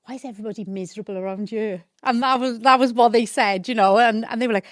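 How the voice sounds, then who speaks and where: A woman's voice: on the high side, fast, dry recording, studio quality. A middle-aged woman from the South Wales Valleys with a Valleys accent, a podcast guest talking earnestly in long stretches. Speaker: guest from a housing membership team. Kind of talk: casual conversational interview.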